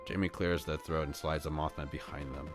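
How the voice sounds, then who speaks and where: A man with a very deep voice narrates a visual novel on a live stream. His delivery moves between storytelling and casual streamer talk. Faint music plays behind him. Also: steady tone, a little fast. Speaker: VTuber streamer reading a visual novel aloud. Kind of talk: live read-aloud narration with casual asides. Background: background music.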